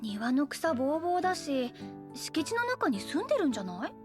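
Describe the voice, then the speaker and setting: A woman's voice: sits high, big pitch swings, fairly quick, over music. A woman voicing a carefree young woman in a Japanese audio drama, easygoing and blithe in casual speech. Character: carefree, airy young woman, innocently teasing friend.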